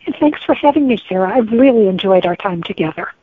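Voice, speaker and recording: A woman's voice: mid-range voice, expressive, quick pace, slightly rough, no room sound. A woman being interviewed as a guest author, speaking conversationally in an earnest, opinionated way. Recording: thin, tinny phone-like line.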